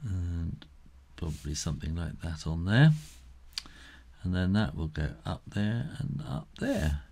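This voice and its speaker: A middle-aged man giving a casual step-by-step drawing tutorial, unhurried and thinking aloud as he works. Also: deep voice, expressive, vocal fry, a little mellow, husky.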